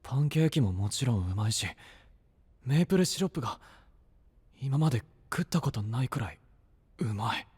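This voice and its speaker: Husky, gruff, awkward young knight. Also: male voice, medium pitch, expressive, fairly quick, pauses often, a little mellow. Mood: flustered, impressed. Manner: blunt, rough casual speech, easily flustered. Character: gruff, awkward, proud but kindhearted young male knight. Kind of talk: Japanese anime-style voice drama.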